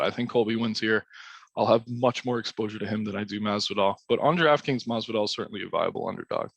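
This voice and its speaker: A man casually talking through his fight picks, his voice raspy from late at night, with loose ums and restarts. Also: medium pitch, no room sound. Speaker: DFS strategy host. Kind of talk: casual solo analysis.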